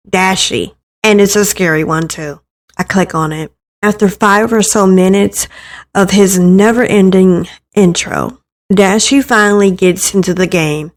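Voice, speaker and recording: A woman narrating a first-person story expressively, acting out the thoughts as she reads. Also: medium pitch. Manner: acts out the narrator's thoughts, with stumbles in reading. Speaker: narrator reading a visual novel aloud.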